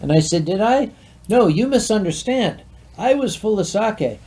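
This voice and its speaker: A man's voice: sits high, lively intonation, moderate pace, warm tone. A man with a Philadelphia accent, co-hosting a livestream chat and telling dry, teasing anecdotes. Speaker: podcast co-host.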